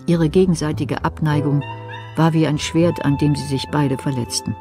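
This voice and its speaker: A woman's voice: deep voice, steady tone, moderate pace. Female narrator of a crime drama over music. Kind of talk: scripted audio drama narration.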